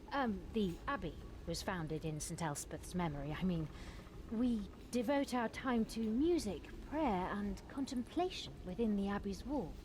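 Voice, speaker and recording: A woman playing a gentle, grateful young nun rescued from captivity in a fantasy video game, speaking with earnest politeness. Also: mid-range voice, a little hiss or hum, natural voice, rough recording. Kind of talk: scripted fantasy game acting.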